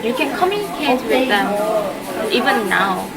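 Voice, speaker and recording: A woman's voice: on the high side, sing-song, normal speed, over a noisy crowd. A Korean-native woman hosting a casual interview in English, searching for words and giving short backchannels. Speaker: interviewer host. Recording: loud music behind.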